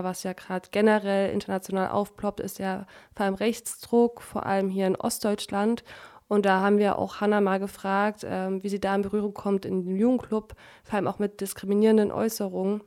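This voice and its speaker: A woman hosts a podcast in a calm, scripted manner. Her pitch stays level and even, and she moves smoothly from one segment to the next. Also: a little fast. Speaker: podcast host. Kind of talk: podcast moderation.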